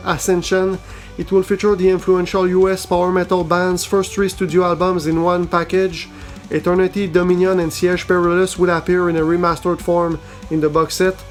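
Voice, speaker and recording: Male host, flat measured read, highish voice, soft guitar music under it. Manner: even, measured reading. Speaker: host of a metal news show. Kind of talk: scripted album-release roundup.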